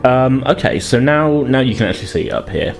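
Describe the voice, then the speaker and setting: A man's voice: medium pitch, expressive, brisk, gravelly, soft background music. A man narrating a tabletop game as game master in a hesitant, self-correcting, conversational way.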